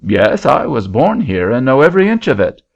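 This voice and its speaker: A man doing a calm, plain farmer. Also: medium pitch, moderate pace, gravelly, pitched above the performer's own voice. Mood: matter-of-fact. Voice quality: calm, matter-of-fact. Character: plain, helpful male local farmer. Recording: dull lo-fi recording.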